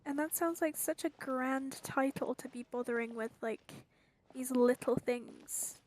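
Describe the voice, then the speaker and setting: A woman's voice: sits high, a little slow, darkish tone, a little hiss or hum, rough recording. A woman game streamer giving casual playthrough commentary with wry, exasperated asides. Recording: game music behind the voice.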